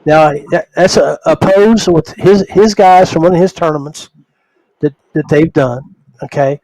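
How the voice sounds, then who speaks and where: Male podcast host, slightly high voice, conversational and halting, very dry close sound. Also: a little mellow, dry recording, poor audio. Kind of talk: casual conversational interviewing.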